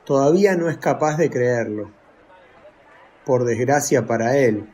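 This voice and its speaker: An actor playing a young disciple in a radio drama, answering earnestly and firmly. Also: male voice, mid-range voice, lively intonation, measured pace, a little mellow, natural voice. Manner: earnest, firm replies.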